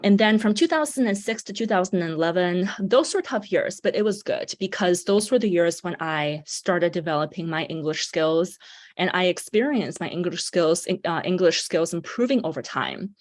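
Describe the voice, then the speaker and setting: Young woman presenting on a video call, lowish voice, upbeat, lots of ums. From China. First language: Mandarin Chinese. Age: 20s. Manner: upbeat, with frequent um and uh fillers. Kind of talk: online presentation.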